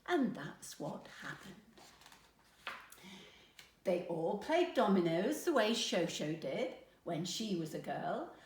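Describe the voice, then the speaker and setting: A woman's voice: medium pitch, echoey room. A woman narrating a children's storybook aloud as a storyteller. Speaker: storyteller, grandmother.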